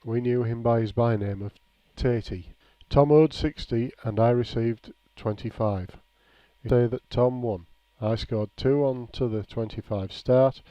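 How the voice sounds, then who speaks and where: A man reading a nostalgic written memoir aloud in a measured, plain storytelling way. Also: medium pitch, dark tone. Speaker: reader of a written local-history account. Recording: dull, lo-fi, echoey room.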